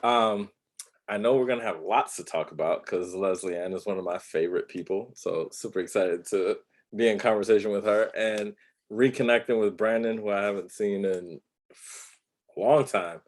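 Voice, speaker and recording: A man with a very deep voice introduces himself on a video call. He is friendly and upbeat, and his sentences are loosely strung together with ums. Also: moderate pace. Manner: friendly, upbeat, filler-heavy. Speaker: design professor and founder. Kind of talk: casual panel conversation.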